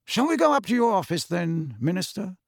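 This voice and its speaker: A man voicing a cold, sneering aristocratic wizard with a slow, cool drawl in a dry audiobook recording. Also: high voice, big pitch swings, gravelly, no echo, voice pushed up, exaggerated voice. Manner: slow, cool drawl with soft trailing endings.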